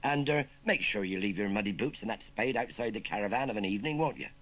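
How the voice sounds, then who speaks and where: A man's voice: fairly low pitch, fast talker, a bit bright. A man voicing a grumpy but kindly older farmer who fumbles and hesitates over his words. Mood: flustered.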